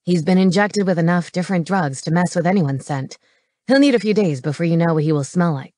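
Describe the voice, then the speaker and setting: Woman voicing a brisk, easygoing young male medic. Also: lowish voice, a little mellow, dry recording, natural voice. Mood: reassuring, brisk. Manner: brisk, matter-of-fact. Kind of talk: paranormal romance audiobook character.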